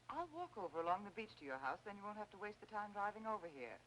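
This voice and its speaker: A woman's voice: medium pitch, sing-song, quick pace, a bit bright, slight background noise, poor audio. An actress playing a poised, teasing, well-off lady in the style of 1940s film noir. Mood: breezy, assured. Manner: playful, self-assured, bantering. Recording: vintage film soundtrack with music behind.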